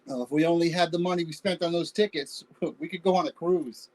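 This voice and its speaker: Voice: medium pitch, fairly quick, a little mellow, close to an everyday voice, poor audio. An actor playing a wry, teasing older spouse reminiscing fondly in a staged play reading. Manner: wry, bantering, conversational.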